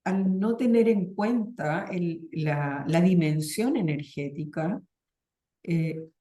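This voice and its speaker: Middle-aged woman interviewer, low and warm, supportive with plenty of mm-hms. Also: slow pace. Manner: frequent affirming murmurs, searching restarts. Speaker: interviewer, psychologist. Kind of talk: conversational interview.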